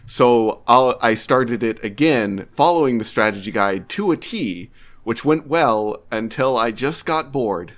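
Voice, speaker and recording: A man's voice: medium pitch, animated, unhurried, a bit bright. Wry man in casual podcast chat. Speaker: podcast co-host. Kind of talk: casual anecdotal game talk.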